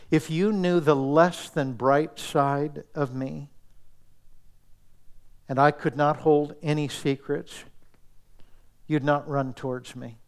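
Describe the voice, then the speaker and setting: A man preaching a sermon in an earnest, intimate way, dropping to soft, near-whispered asides. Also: a little high. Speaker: pastor.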